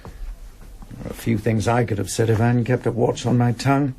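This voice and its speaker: A man's voice: medium pitch, steady tone, gravelly, dark tone, slight background noise, close to an everyday voice. An actor playing a practical, dismissive middle-aged husband and father in a radio drama, reproving. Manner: brisk, reproving, matter-of-fact. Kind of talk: broadcast radio drama acting.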